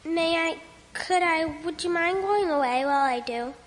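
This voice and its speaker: A woman's voice: very high-pitched, normal speed, long reverb tail, voice pushed up. A woman voicing a frightened, thirsty young schoolgirl who speaks timidly and hesitantly.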